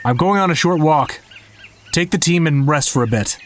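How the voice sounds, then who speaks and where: A man's voice: on the high side, moderate pace, a little hiss or hum, lightly acted. A man playing a brash, impatient young squad captain in an audio drama, speaking in a curt, commanding way.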